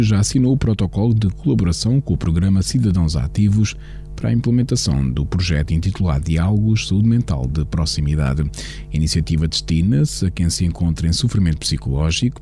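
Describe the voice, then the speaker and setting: Deep, mellow male radio newsreader. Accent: European Portuguese. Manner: measured, formal reading. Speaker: radio news presenter and interviewer. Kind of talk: read news bulletin.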